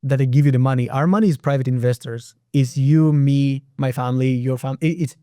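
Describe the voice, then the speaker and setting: Israeli guy, hebrew first language, mellow voice, restarts his sentences a lot, dry room. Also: mid-range voice, fairly even intonation, fairly quick, dry recording. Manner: explains step by step, frequent restarts. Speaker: private real estate lender, guest. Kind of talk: casual podcast interview.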